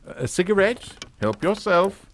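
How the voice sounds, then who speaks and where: A man's voice: high voice, sing-song, measured pace, slight background noise. An actor playing a genteel, middle-aged family man in a suspense drama, pompous and formal with a falsely jovial manner. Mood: smoothly genial. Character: genteel English family patriarch, smoothly hypocritical and unflappable. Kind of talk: radio suspense drama acting.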